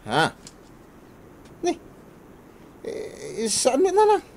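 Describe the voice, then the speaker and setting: An actor playing a cheeky, whiny, hard-drinking grown son in a Filipino radio comedy drama, with a Filipino accent. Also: male voice, extremely high pitch, huge pitch swings, extremely slow, creaky, darkish tone, heavily acted. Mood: teasing, whiny. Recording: noisy background.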